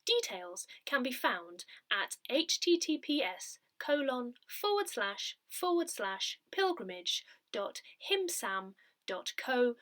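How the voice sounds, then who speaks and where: A woman reading written articles aloud in a measured, clearly phrased way, as for an audio newsletter. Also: on the high side, very animated, fairly bright tone. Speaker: newsletter reader.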